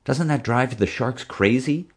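A man's voice: mid-range voice, unhurried, husky, voice pushed up. A man voicing an eager, nerdy schoolboy with an earnest, inquisitive, chattering manner in an audiobook story. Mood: curious, insistent. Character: eager, nerdy schoolboy animal-park fanatic.

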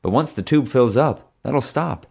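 A man's voice: medium pitch, animated, a little slow, creaky, darkish tone, breathy edge, voice pushed up, heavily acted. A man voicing a young hero in an audiobook story, earnest and urgent as he reasons through danger. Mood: confident explaining.

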